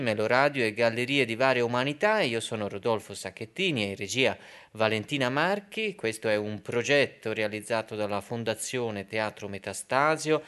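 A man hosting a radio programme, introducing it in a measured, explanatory way with hesitant pauses. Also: lowish voice, a bit bright. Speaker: radio host.

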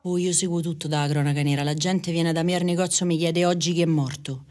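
A woman's voice: low voice, fairly even intonation, fast, darkish tone. A middle-aged woman with a Roman Italian accent telling personal stories casually, rambling, repeating phrases and acting out conversations. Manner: chatty, repeats phrases, acts out dialogue.

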